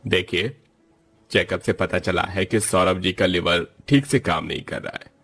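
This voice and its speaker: A man voicing a calm, grave family doctor who speaks in a measured, deliberate way in a story. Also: sits low.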